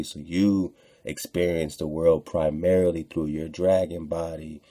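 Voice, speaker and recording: A man's voice: sits low, mellow. A man hosting online radio, commenting casually on texts he reads aloud, repeating phrases for emphasis and addressing listeners in slang.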